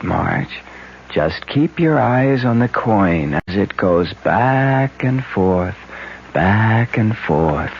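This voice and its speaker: A slow, gravelly young hypnotist. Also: male voice, deep voice, exaggerated voice. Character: smooth-talking young male amateur hypnotist. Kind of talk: 1970s radio drama acting. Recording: dull old radio broadcast, faint hiss.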